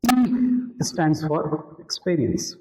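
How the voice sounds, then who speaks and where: A man's voice: fairly high pitch, expressive, drawn-out words, mellow, soft background music. A man with an Indian accent giving an instructional talk as an interview coach, didactic and repeating key points for emphasis. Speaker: interview skills coach, presenter. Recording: roomy, echoing room.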